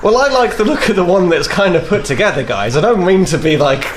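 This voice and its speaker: A man's voice: sits high, animated, fast talker, slight background noise. Hesitant man playing a tabletop game. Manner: hesitant, repeats himself, lots of fillers. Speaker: player. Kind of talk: casual tabletop game play.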